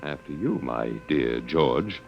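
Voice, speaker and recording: An actor playing a wry, detached professor held captive, with a sardonic lecturing manner in 1950s radio drama style. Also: male voice, very deep voice, expressive, takes it slowly, gravelly, over music, full character voice. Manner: sardonic, lecturing, hesitant repetitions. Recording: muffled, noisy old radio broadcast.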